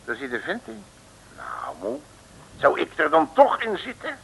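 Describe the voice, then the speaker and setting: Old-time radio detective, gravelly and blustering, swoops up and down, muttered asides. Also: male voice, fairly high pitch, huge pitch swings, a little slow, a little hiss or hum, exaggerated voice, low-quality recording. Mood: puzzled, muttering. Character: blustering, grumbling, folksy male detective. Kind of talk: 1960s radio serial acting.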